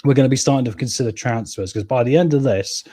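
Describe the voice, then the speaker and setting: A man with a cold and a hoarse voice, chatting casually to viewers as he plays a football management game and reads out live chat. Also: medium pitch, fast talker, mellow, no echo, clean studio sound. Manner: chatty, keeps addressing viewers as guys and mate. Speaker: gaming channel host.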